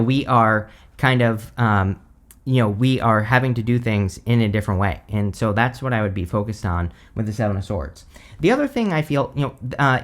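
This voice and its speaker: A man giving a casual tarot reading, hoarse because he is losing his voice, rambling with lots of "like" and "you know". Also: lowish voice. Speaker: tarot reader.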